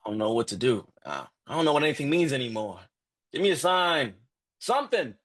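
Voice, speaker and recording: An actor playing an exhausted, lovestruck young man in a live script read, earnest and halting, with a husky voice. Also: medium pitch, sing-song, a bit bright. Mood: desperate. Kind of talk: live screenplay table read.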